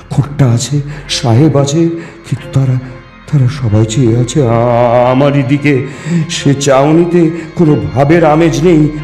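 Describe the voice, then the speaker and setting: A man reading a ghost story in the first person, theatrical and suspenseful, with deliberate pauses and repetitions. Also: mid-range voice, dark tone. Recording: echoing reverb with music underneath. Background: background music.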